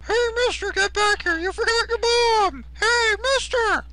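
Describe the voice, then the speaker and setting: A woman's voice: very high voice, a little slow, sharp tone, exaggerated voice. A woman voicing an irritable, foul-mouthed schoolgirl in an amateur anime parody dub. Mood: agitated.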